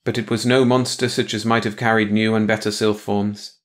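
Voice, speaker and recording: Lowish-voiced man narrating a story. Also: flat delivery, moderate pace. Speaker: audiobook narrator. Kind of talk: scripted story narration, bedtime read-along.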